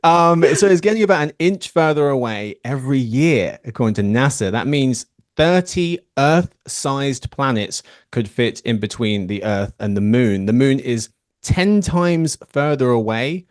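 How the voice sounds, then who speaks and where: Brief: male podcast host, joking and teasing, mid-pitched voice, dead-dry close mic. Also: expressive, unhurried, dry recording. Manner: playful, teasing banter. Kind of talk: casual podcast chat.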